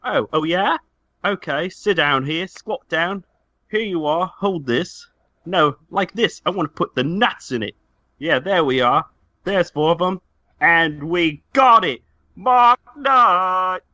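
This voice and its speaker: Man to play impatient father, clipped orders, lively pitch, dry close sound, comedic table read. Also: slow, dry recording, highs cut off, heavily acted. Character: gruff, impatient father fixing a car tire.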